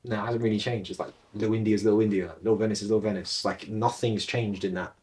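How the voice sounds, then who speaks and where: A man's voice: lowish voice, fairly even intonation, fast talker, darkish tone. A young man from England, chatting casually as a podcast co-host and rambling with restarts as he searches for words. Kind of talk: casual chat.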